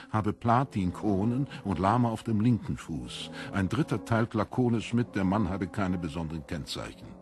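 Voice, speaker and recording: A man narrating a literary story as a scripted audiobook, with measured, deliberate storytelling. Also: lowish voice.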